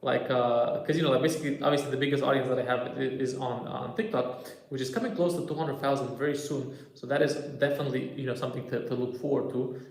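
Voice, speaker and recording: A man's voice: mid-range voice, fairly even intonation, quick pace, vocal fry. A man, a stand-up comedian chatting casually on a livestream and answering viewer comments as he goes. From Romania. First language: Romanian. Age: 30s. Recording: echoey room.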